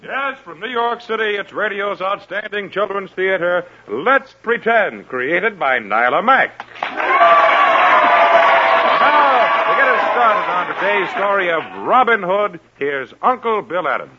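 A man's voice: high voice, expressive, a little slow. A man announcing a 1950s radio show in a formal, projected manner. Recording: dull, very noisy old radio with crowd.

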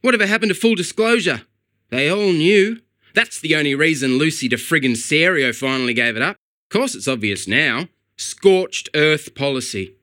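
A frazzled middle-aged real estate agent , played by a man, rants, agitated and cursing at his luck. His voice is bright and forceful, pitched a touch high. He sounds exasperated throughout, a storybook figure at the end of his rope. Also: expressive, normal speed, no echo, studio quality.

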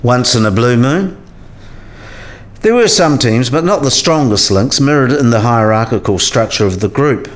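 A man acts out a cynical, sidelined professor in a radio play. His mid-range voice moves freely up and down as he explains, wry and didactic, in measured phrases. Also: mid-range voice, lively intonation, close to an everyday voice. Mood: matter-of-fact. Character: cynical, sidelined male professor giving career advice.

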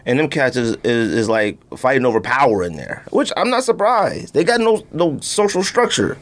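A man's voice: medium pitch, big pitch swings, normal speed, slight background noise. A man co-hosting a podcast, bantering casually about sneakers in slangy, storytelling talk. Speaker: podcast co-host.

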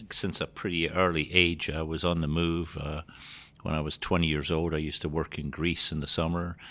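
A man's voice: rumbling low, vocal fry, fairly bright tone. A middle-aged man from Scotland with a Scottish accent, talking conversationally as an interview guest. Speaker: podcast guest, general manager.

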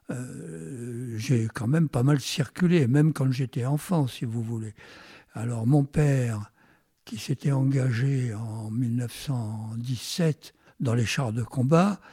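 A Frenchman in his nineties shares memories in an interview. His voice is dark and husky, recorded dry with little room sound. He speaks haltingly, searching for his words as he goes. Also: medium pitch, no echo. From France. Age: 90s. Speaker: interview guest recalling his life. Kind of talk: unscripted reminiscence.

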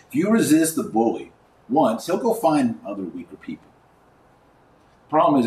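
A man hosting a podcast, giving live commentary and reading aloud in an emphatic, colloquial manner with dramatic pauses. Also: lowish voice, a little mellow. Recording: roomy, distant mic, faint background noise.

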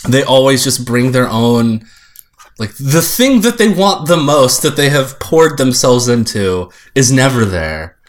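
A man's voice: medium pitch, sing-song, a little slow. A man chatting casually and giving opinions as a podcast panelist, wry and rambling, often repeating words.

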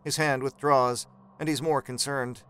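A man narrating a horror story in a measured, suspenseful, dramatic storytelling style. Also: mid-range voice, steady tone, fairly bright tone, clean tone, close to an everyday voice.

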